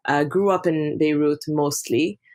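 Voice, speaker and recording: A woman's voice: sits low, fairly even intonation, a little slow, darkish tone, a little hiss or hum. A woman from Lebanon, a relationship therapist, talking through couples' patterns in a casual podcast interview. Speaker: guest therapist.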